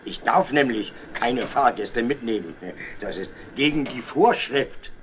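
An actor playing a friendly, gruff but kindly train driver in a children's radio play, with a hearty manner. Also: male voice, mid-range voice, unhurried, lightly acted. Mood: regretful. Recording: steam train noise behind voice.

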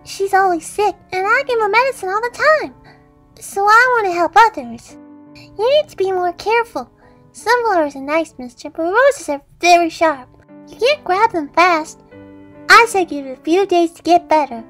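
A woman's voice: very high-pitched, lively intonation, moderate pace, fairly bright tone, faint music behind. A woman voicing a sweet, earnest little girl with a Southern US twang who wants to be a doctor. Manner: bubbly, earnest, childlike. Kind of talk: fan comic dub.

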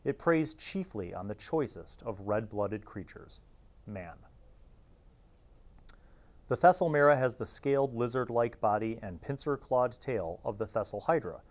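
A man, hosting a casual review in an informal, halting way and sometimes reading aloud. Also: mid-range voice. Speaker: host reviewing old gaming magazines.

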